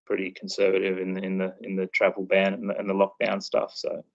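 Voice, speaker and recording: Male podcast host, relaxed and rambling, gravelly voice on a dull recording. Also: low voice, fast talker. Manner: laid-back, loose and rambling. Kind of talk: casual chat.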